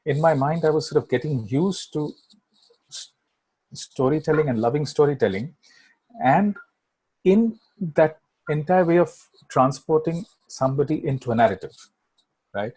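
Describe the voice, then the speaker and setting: Man, middle-aged, Indian English accent, husky voice, dry close sound, rambling guest talk. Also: a little high, lively intonation, moderate pace, pauses often, a little mellow, no room sound, rough recording. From Kolkata, India. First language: Bangla. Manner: rambling, many fillers and "right?" tags. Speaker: guest filmmaker. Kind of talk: casual interview chat.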